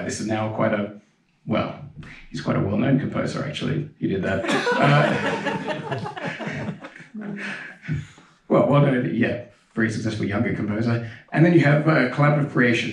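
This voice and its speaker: Young man, low voice, casual lecture full of fillers, roomy hall, mic at a distance. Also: a little hiss or hum. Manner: relaxed, chatty, with frequent fillers and restarts. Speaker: pianist giving a keynote talk. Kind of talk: conference lecture with video examples. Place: large dark concert hall. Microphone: handheld mic.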